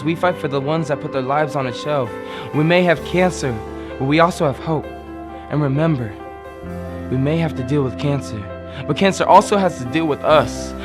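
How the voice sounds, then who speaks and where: A young man performing slam poetry with a dramatic, rhythmic, emphatic and deliberate delivery. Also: mid-range voice. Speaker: slam poet.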